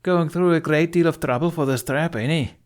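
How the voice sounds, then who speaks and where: Man acting blunt defensive blacksmith, pitched up. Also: brisk, lightly acted. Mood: challenging, defensive. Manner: colloquial, challenging.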